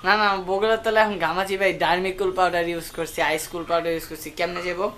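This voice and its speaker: A man's voice: high-pitched, little pitch movement, fast, a bit bright. A young man with a Bangladeshi accent chatting casually in slang with bits of English, as a prank caller. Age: young adult. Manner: slangy, mixes in English words. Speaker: prank caller and channel host. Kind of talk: casual prank phone call chat. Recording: noisy amateur phone-call recording.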